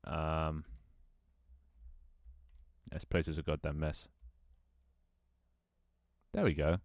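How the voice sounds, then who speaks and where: Deep-voiced young man rambling on stream. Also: flat delivery, drawn-out words, gravelly, a little mellow, no room sound. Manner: rambling, self-talk with frequent restarts and laughs. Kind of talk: casual live-stream chat while gaming.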